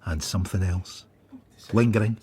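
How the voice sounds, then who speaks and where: A man's voice: sits low, moderate pace, dark tone, slight background noise, lightly acted. A man playing a world-weary Scottish detective, narrating a radio drama in terse, observant phrases. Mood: sombre.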